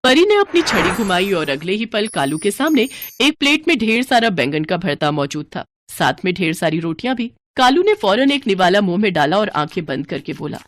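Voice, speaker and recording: Animated female storyteller, lively intonation. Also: mid-range voice, brisk, dry recording. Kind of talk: scripted story narration.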